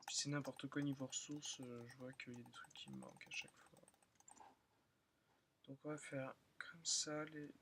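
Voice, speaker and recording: Young man, slightly hoarse, rambling self-talk, middling pitch, loud keyboard clatter. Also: steady tone, normal speed, noisy background. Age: young, still in school. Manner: rambling, thinking aloud with many fillers. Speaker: gaming channel host. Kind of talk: casual gameplay commentary. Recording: loud keyboard and mouse clicking.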